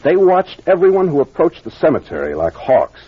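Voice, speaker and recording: Lively, husky American radio detective captain. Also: male voice, a little high, exaggerated voice. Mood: assured, explanatory. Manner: deliberate, authoritative, explanatory. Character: confident young male detective captain explaining a case. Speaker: actor playing the investigator. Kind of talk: 1940s American radio mystery acting. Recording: muffled old radio recording.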